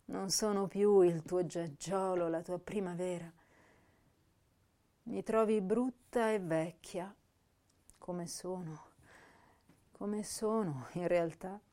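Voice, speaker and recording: A woman's voice: lowish voice, fairly even intonation, mellow. A woman playing an intense, tender, self-reproaching young poet in a radio drama, reading her letters and verse with theatrical feeling. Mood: self-reproachful, wounded. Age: young adult. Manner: slow, emotive, theatrical delivery.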